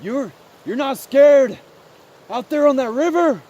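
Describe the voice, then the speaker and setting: A man voicing a small, earnest and naive mouse in a story, halting and repeating words. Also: very high-pitched, animated, dark tone, muffled recording, voice pushed up, full character voice. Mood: curious, awed.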